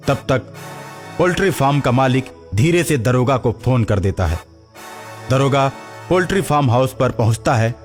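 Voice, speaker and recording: A man narrating a scripted cartoon story as the storyteller. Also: medium pitch. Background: background music.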